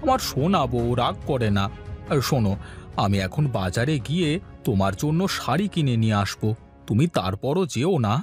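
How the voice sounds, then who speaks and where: A man's voice: medium pitch, very animated, normal speed, faint music behind, lightly acted. A man voicing a flustered, coaxing, henpecked village husband in a comic folk-tale cartoon, with exaggerated exclamations. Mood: placating.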